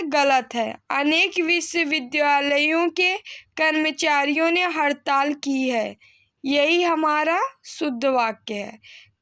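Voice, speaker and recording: A woman's voice: high voice, sharp tone. A woman teacher giving a grammar lesson, repeating example sentences didactically and answering her own questions. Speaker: Hindi grammar teacher for exam candidates. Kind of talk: instructional lesson, reading sentences and correcting them.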